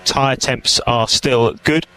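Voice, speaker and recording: A man's voice: mid-range voice, fairly even intonation, slow, bright, forceful tone. A man working as a race engineer, giving clipped, procedural instructions over team radio. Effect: team radio transmission. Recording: noisy team radio feed.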